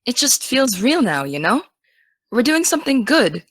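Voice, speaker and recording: A young American woman playing an excitable, teasing teenage aspiring journalist in naturalistic, casual audio drama dialogue. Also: fairly high pitch, moderate pace, dry recording, clean studio sound. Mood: earnest, sincere.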